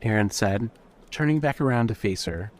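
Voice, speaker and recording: A man's voice: lowish voice, animated, normal speed, a little mellow. A man reading scripted story narration as the narrator of an audio fiction piece. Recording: faint noise and hum.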